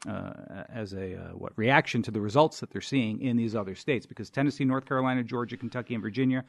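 A man in casual group discussion, halting and searching for words. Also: mid-range voice, vocal fry, no echo.

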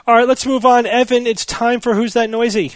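An American man hosting a panel podcast, moderating casually and explaining things in a measured way. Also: very high voice, fairly even intonation, no echo, clean studio sound.

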